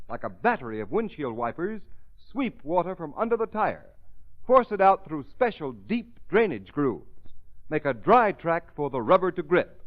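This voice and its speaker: Male vintage radio announcer, emphatic, dramatic, highish animated voice, dulled broadcast sound. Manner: emphatic, dramatic, crisply enunciated. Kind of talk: 1930s radio announcing, scripted sales narration. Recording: muffled old radio broadcast.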